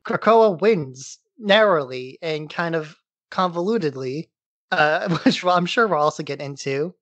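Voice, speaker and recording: A man's voice: fairly high pitch, lively intonation, measured pace, fairly bright tone, no echo, lo-fi sound. A man hosting a podcast, giving a casual, informal recap in loose everyday language. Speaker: podcast host. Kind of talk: casual comic-book recap.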